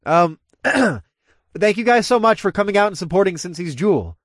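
Club boss hero played by a man: practical, easygoing, animated audiobook dialogue, close and dry. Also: high-pitched, moderate pace, no echo, voice pushed up. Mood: announcing, apologetic.

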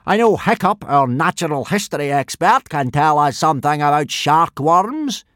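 A man voicing a loud, blustering male Viking pirate teacher who booms and bellows. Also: lively intonation, normal speed, dry recording, clean studio sound. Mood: booming. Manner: booming, bellowing.

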